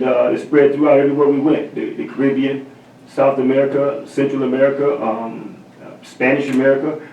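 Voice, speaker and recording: A man, a drummer appearing as a guest, answering thoughtfully and haltingly with many fillers and restarts. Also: medium pitch, gravelly, darkish tone, slight background noise. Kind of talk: interview answers. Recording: roomy sound from a distant mic.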